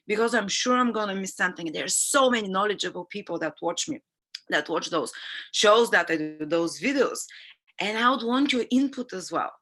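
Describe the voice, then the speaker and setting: A woman's voice: mid-range voice, a bit bright. A woman astrologer who is sick with a sniffly nose, talking in a chatty, rambling, earnest way on her own live stream. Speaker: astrologer and channel host. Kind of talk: live-stream forecast chat.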